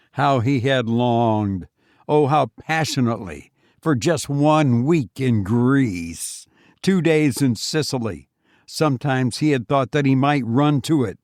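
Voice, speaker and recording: A man narrating a literary horror story as an audiobook, in a deliberate, expressive, dramatic way. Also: mid-range voice, no room sound, studio quality.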